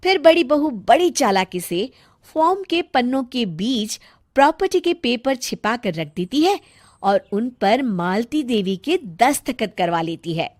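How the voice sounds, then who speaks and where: A woman storyteller giving scripted storybook narration in a calm, measured way. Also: on the high side, no room sound, clean studio sound.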